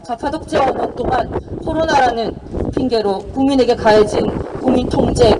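A woman serving as emcee of an outdoor press conference, announcing the program in a formal, declamatory way. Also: high-pitched. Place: outdoors in front of a city hall. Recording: distant mic, loud outdoor noise.

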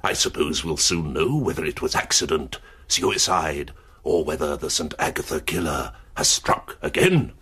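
A deep, husky, measured colleague. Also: male voice, a bit bright, heavily acted. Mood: grave. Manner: measured, speculative. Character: calm, dry-spoken man colleague.

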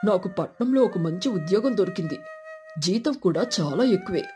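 A woman's voice: mid-range voice, lively intonation, normal speed, music in the background, close to an everyday voice. A woman voicing a protective father sparrow, a firm and earnest family man in a cartoon story.

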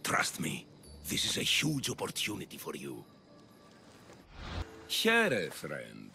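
Theatrical, swooping Athenian demagogue. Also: male voice, extremely low pitch, huge pitch swings, takes it slowly, creaky, sharp tone, soft background music, exaggerated voice. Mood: rousing, commanding. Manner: oratorical, insinuating, grandiose. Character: scheming, theatrical populist male politician of ancient Athens. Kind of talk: dramatic video game dialogue.

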